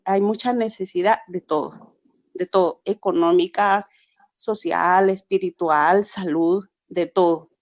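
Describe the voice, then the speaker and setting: A woman's voice: mid-range voice, no echo, dull audio. A woman from Peru, a pastor, giving an earnest testimony in a forum over a video call, often repeating herself and adding "verdad". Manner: earnest, repetitive, frequent "verdad".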